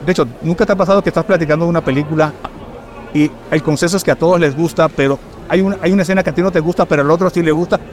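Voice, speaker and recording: A middle-aged film director from Puerto Rico with a mixed Caribbean and Mexican Spanish accent, chatting casually and telling stories with lots of fillers. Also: male voice, a little high, fairly even intonation, rapid-fire, a little mellow. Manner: chatty, storytelling, fillers like "este". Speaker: film director, podcast guest. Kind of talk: casual conversation.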